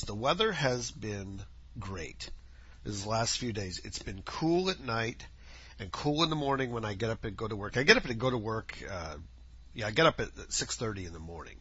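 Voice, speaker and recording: Male podcast host, scratchy and husky, animated rambling solo chat, dull lo-fi home recording. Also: mid-range voice. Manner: rambling, chatty, self-correcting. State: scratchy voice from dust. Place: home, outdoor noises faintly heard.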